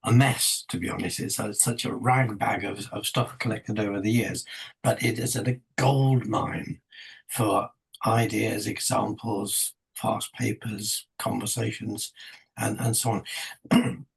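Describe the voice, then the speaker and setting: Croaky, husky-voiced man on a call. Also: on the low side, fairly even intonation, slow pace, poor audio. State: croaky from a cold. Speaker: panel contributor. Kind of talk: online book launch discussion. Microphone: video call.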